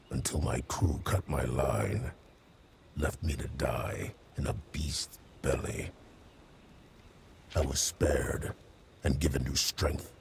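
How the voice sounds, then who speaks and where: Abyssal, gravelly undead assassin. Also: male voice, a little hiss or hum, full character voice. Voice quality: very deep gravelly rasp, at times breathy. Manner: slow, terse, menacing. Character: undead male assassin, grim, vengeful, terse killer. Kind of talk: cinematic game acting.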